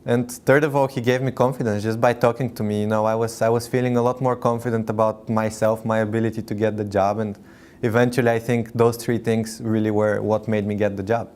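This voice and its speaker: A man's voice: lowish voice, little pitch movement, a little fast, a little mellow. A young man from Bulgaria in his twenties, a master's student speaking in a friendly, encouraging way in a testimonial interview.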